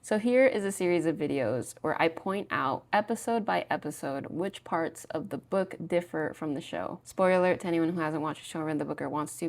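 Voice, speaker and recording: A woman recapping a book and its drama adaptation in a chatty, casual way, often saying "like" and acting out short quoted lines. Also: sits low. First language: not Thai. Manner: chatty, peppered with "like", acts out quick quoted lines.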